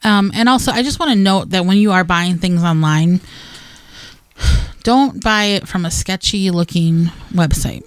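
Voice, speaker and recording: A woman's voice: lowish voice, a little slow, clean tone, no echo. An American woman, middle-aged, co-hosting a radio show with casual, plain-spoken advice and hypothetical asides. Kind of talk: casual gardening advice chat.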